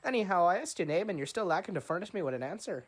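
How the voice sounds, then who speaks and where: A man doing a gabby, bearded old riverboat captain who won't stop prying. He speaks in a put-on brogue, pitched up high, and rambles along, tacking "you know" onto nearly every sentence. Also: animated, very fast. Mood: jovial. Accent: put-on Scottish-like brogue.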